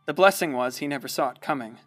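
A man's voice: mid-range voice, fast talker, a bit bright, natural voice. A man playing a wry, folksy small-town storyteller, narrating a radio play. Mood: wry, fond. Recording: music behind the voice.

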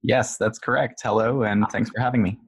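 A man being interviewed as a guest software engineer on a podcast, talking casually and haltingly with lots of filler words. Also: fairly low pitch, steady tone, gravelly, slightly rough, dry recording.

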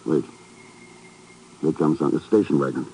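An actor playing a cool, commanding secret agent in a 1950s radio drama, speaking in a dry, clipped, self-assured way. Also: male voice, rumbling low, a little slow, mellow. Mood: tense, commanding. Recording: noisy vintage 1950s radio recording.

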